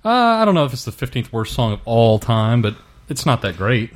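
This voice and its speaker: A man's voice: mid-range voice, very animated, fairly quick. A man co-hosting a casual podcast and running his segment in an easygoing, self-deprecating way. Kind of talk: casual chat.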